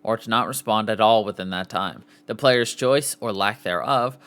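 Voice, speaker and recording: A man's voice: lowish voice, normal speed, a bit bright, smooth. Man reading aloud on a casual podcast. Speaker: podcast host.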